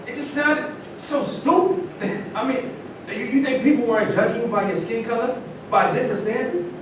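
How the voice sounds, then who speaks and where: A man at a staged reading, playing a boastful champion athlete who talks down to others. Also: normal speed. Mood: condescending. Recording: echoey room from far off, rumbling noise.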